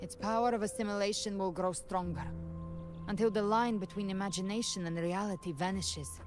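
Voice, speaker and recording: A woman playing a grave, resolute young warrior in a video game, speaking in a solemn, formal, measured way. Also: mid-range voice, fairly even intonation, lightly acted. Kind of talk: scripted video game dialogue. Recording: game audio under loud music.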